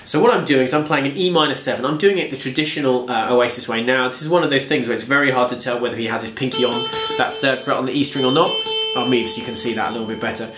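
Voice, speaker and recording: A man's voice: mid-range voice, fast talker, hardly any pauses, a bit bright. A man teaching guitar at home in a chatty, self-deprecating way, congested from a cold and counting strums aloud. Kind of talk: casual guitar lesson. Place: home room with bookshelves. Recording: echoey room with guitar playing.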